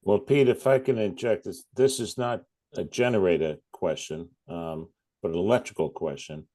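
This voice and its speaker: Deep-voiced man in casual discussion. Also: normal speed, dark tone. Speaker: club member asking questions. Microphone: conference call.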